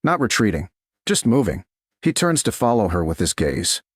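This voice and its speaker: A man with a low, husky voice narrates a romance audiobook in short, suspenseful phrases. His pitch rises and falls dramatically, and the recording is close and dry. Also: big pitch swings, normal speed, dry recording.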